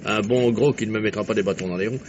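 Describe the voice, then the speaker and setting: An actor playing a keen, talkative journalist turned amateur detective in an old French radio mystery, theatrical and reasoning step by step. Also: male voice, on the low side, fast talker, close to an everyday voice. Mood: musing, wry. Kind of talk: mid-century French radio mystery acting. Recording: noisy, muffled old radio drama recording.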